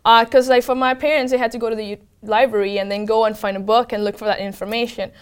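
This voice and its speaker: A woman's voice: fairly high pitch, level tone, quick pace, smooth. A woman with a Venezuelan accent, a native Spanish speaker, answers interview questions in an enthusiastic, informal way. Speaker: interview guest, tech program manager.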